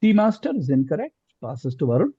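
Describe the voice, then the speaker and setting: Male quizmaster, higher-pitched and very varied in tone, slightly husky, dull call audio. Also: big pitch swings, brisk, mellow. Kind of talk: reading quiz questions in an online quiz.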